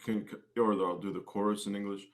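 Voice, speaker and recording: A man with a low-pitched voice chats casually as a guest in an interview. He speaks hesitantly, often doubling back to repeat or correct a phrase. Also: flat delivery, fairly quick. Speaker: guest, singer-songwriter and musician.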